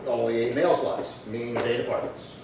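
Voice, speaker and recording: A man playing a terse, deadpan police detective sergeant in a 1950s-style radio crime drama, clipped and businesslike. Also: medium pitch, normal speed, lightly acted. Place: live theatre performance space. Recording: very echoey hall, distant, faint noise.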